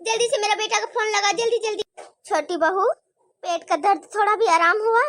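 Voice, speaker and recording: A woman's voice: very high-pitched, moderate pace, a bit bright, no echo, clean studio sound. A woman playing a bossy, worried middle-aged mother-in-law who scolds and gives urgent orders in a homemade family drama skit. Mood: anxious.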